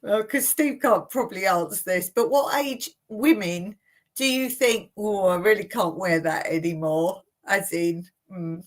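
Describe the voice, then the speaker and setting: A woman in her late fifties hosting a casual live Q&A, reading viewer comments aloud and chatting about them with frequent laughs. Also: mid-range voice. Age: 50s. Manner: chatty, laughs often between remarks. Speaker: channel host. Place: home in rural Cyprus.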